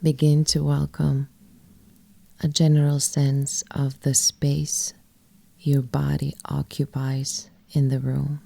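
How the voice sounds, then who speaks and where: A woman guiding a yoga nidra meditation in a soft, breathy, often near-whispered voice, with gentle phrases and long pauses. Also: very deep voice, monotone, no room sound. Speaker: yoga nidra teacher and guide. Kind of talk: guided meditation, soft and soothing.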